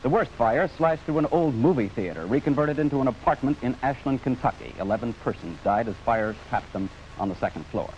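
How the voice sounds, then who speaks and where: A man with an American accent anchoring a scripted newscast in a formal, measured announcer style. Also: medium pitch, animated, a little mellow. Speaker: news anchor. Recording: dull 1950s broadcast, faint noise.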